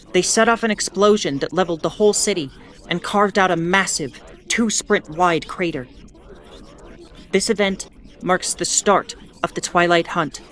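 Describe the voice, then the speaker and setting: Woman acting a weary, sardonic starship broadcaster, bright-voiced. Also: lowish voice, close to an everyday voice. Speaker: ship's bulletin broadcaster. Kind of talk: in-character educational monologue, audio fiction.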